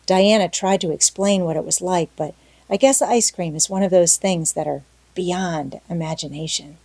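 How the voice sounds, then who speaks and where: A woman's voice: on the low side, normal speed, creaky, a little mellow, dry recording. A woman voicing a talkative, dreamy young orphan girl in an audiobook, gushing earnestly and dramatically. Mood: excited, dreamy. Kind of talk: audiobook character acting.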